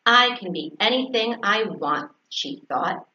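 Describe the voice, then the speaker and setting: A woman's voice: mid-range voice, lively intonation, a little slow, pushed and bright. A woman reading a children's story aloud, narration and dialogue, as a teacher. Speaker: fourth grade teacher. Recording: echoey, dull, faintly noisy recording.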